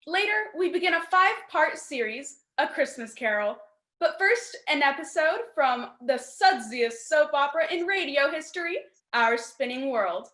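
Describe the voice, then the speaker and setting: Girl doing old time radio announcer, high bright voice, formal, echoey room. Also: full character voice.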